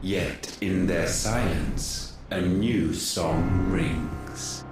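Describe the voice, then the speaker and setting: A cold, haughty ancient god in a video game. The voice is deep and very slow, delivering grave, archaic pronouncements drenched in echo. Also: male voice. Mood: solemn, foreboding. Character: ancient godlike male being, cold and haughty. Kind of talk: theatrical video game villain acting. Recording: heavy echo with background music.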